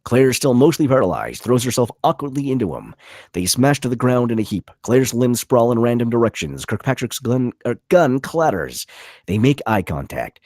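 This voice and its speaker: Male table-read narrator: raspy, punchy, lively pitch swings, dry close sound. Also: normal speed, no echo. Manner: vivid, punchy reading of action. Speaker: narrator reading stage directions.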